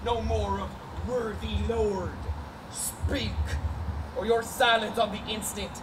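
A man's voice: high voice, fairly even intonation, takes it slowly. Stage villain prince, played by a young man; bullying, mocking, drawled lines; distant stage recording with background noise. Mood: threatening. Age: young adult. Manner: sneering, threatening, bursts into shouts and mocking laughs. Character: arrogant spoiled young prince, vengeful and bullying. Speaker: stage actor. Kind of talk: live stage Shakespeare acting. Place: large theater stage. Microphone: distant room mic.

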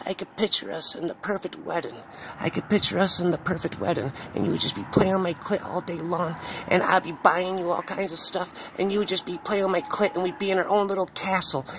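Here is a deranged succubus witch, acted out by a man in a dark horror story. She is wheedling, lewd and menacing. The sound is bright and edged, over faint background noise. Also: high voice, voice pushed up. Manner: cackling, wheedling, demanding.

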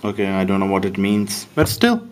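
A man's voice: low voice, very animated, normal speed. Man with a Pakistani accent demoing an app. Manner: chatty, amused running commentary. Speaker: app demonstrator. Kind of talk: casual demo narration.

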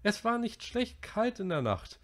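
A fretful, chubby schoolboy whines and pleads in a high, strained voice, as a man puts him on. He is indignant and anxious, his tone pitched well above a grown man's. His voice swoops with agitation and a gravelly edge. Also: animated, moderate pace. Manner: high, whiny and breathless pleading.

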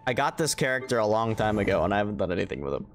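A man's voice: lowish voice, lively intonation, rapid-fire. A man chatting casually on a gaming livestream, swearing freely and poking fun at himself. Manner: casual, profane, self-deprecating. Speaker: game streamer. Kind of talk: casual livestream gameplay chat. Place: indoor room, streaming setup. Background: game music.

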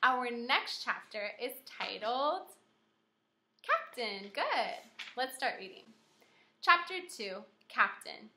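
A young American woman with a fairly high, very bright voice leads a lesson for small children. She is animated and encouraging, keeps her words simple and steers her listeners with questions. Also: takes it slowly, slight background noise. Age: young adult. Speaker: elementary school teacher. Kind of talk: interactive children's read-aloud lesson. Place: small indoor room with whiteboard.

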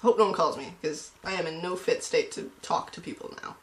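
A woman's voice: on the low side, fairly quick. A woman in her twenties talking in a casual, playful, self-mocking comic vlog style, recorded at home. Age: 20s. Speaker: comedy vlogger. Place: small room at home. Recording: roomy home webcam sound.

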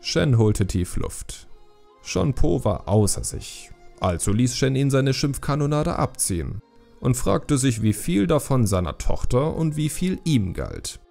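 A man's voice: medium pitch, sing-song, a little slow, gravelly, darkish tone, faint music behind. A man narrating a fantasy audiobook in a scripted, dramatic storytelling style.

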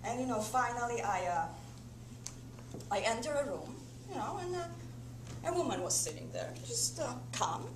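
An actress playing a distressed, bitter wife and mother in a halting, dramatic one-woman stage monologue. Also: on the high side, big pitch swings, echoey room. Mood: agitated, bewildered. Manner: halting, repetitive, emotionally dramatic. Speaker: stage actor. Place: indoor theatre stage, rehearsal. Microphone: camera a few metres away. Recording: noisy theatre camera recording with hum.

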